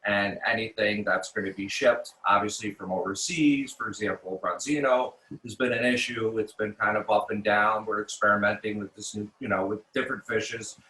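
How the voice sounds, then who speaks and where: American male chef, bright forceful voice, casual interview guest who doubles back on his words, roomy echo. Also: on the low side, steady tone, normal speed, hardly any pauses. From New York City, United States.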